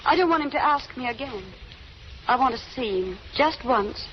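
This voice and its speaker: A woman's voice: sits high, expressive, normal speed. An actress playing an anxious, guarded young woman on the run in an old radio drama, hesitant and pleading. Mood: resigned. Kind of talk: 1930s-40s radio drama acting. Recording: noisy dull old radio recording.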